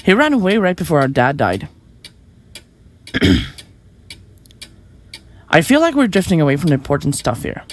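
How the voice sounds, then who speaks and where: A woman playing a flippant, self-important young murderer in an audio drama, glib and quick to get defensive. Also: low voice, big pitch swings. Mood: offhand. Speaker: murderous podcaster in a therapy session. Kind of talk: audio drama acting, conversational.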